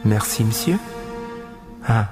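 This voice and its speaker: Sinister gentleman killer, radio horror. Formal, leisurely, mockingly courteous, music underneath. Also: on the low side, lively intonation, takes it slowly, big-room sound. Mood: softly menacing. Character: suave, sinister male murderer, icily polite and leisurely. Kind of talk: radio horror drama monologue.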